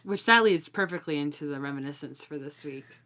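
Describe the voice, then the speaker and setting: Low-voiced woman chatting about books. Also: a little fast, sharp tone. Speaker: co-host of a book discussion show. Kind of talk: casual chat, book discussion.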